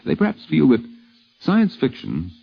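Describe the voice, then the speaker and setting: A man's voice: fairly high pitch, wildly swooping pitch, measured pace, vocal fry, slightly rough. An American man narrating a scripted 1950s radio documentary in a formal, wry, literary manner. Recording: dull vintage radio recording.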